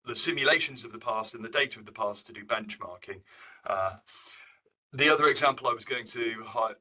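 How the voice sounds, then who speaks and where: Sharp, bright-voiced male academic presenter. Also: medium pitch. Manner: halting, self-correcting, informal delivery. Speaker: professor giving a research seminar. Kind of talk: academic slide presentation, explanatory.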